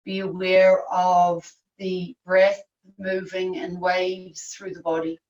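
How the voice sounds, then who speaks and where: Woman, meditation guide, very slow pace, long pauses, soothing, slightly distant microphone. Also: fairly low pitch, monotone, a little mellow, highs cut off. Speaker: yoga nidra teacher. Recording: echoey room, distant microphone.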